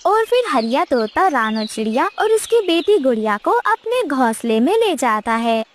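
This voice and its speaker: A woman's voice: high voice, very animated, normal speed, a little hiss or hum. A woman reading scripted narration for a children's cartoon story.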